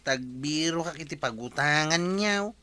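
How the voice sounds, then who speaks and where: A man's voice: on the high side, expressive, normal speed, very noisy, natural voice. An actor with an Ilocano accent playing a fussy, scolding younger male relative in a village radio comedy drama, exclamatory and nagging. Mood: concerned, nagging.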